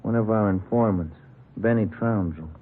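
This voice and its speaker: A man acting a plain-spoken police detective partner in an old radio drama, brisk and conversational, on a noisy, tinny recording. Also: on the low side, close to an everyday voice.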